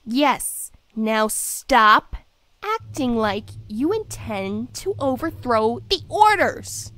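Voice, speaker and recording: A woman's voice: a little high, animated, extremely slow, a bit bright, no echo, heavily acted. A woman voicing a bossy, affectionate young female mage who teases and scolds. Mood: scolding. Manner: teasing, scolding.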